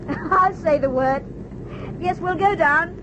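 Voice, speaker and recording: A woman's voice: very high voice, slow. An actress playing a plucky, sensible young woman pilot in a mid-century radio adventure serial. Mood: businesslike, wary. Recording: muffled, noisy old radio recording, engine drone.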